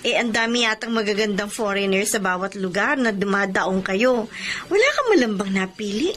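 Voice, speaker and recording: A woman's voice: medium pitch, normal speed, fairly bright tone, a little hiss or hum, lightly acted. An actress in a Filipino radio drama playing a devoted, wounded woman leaving her partner, in a heartfelt, melodramatic way. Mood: sweet, friendly. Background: background music.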